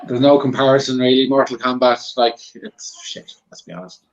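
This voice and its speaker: A man's voice: mid-range voice, level tone, normal speed, muffled recording. A man chatting casually as a guest panellist, giving blunt opinions in an informal way.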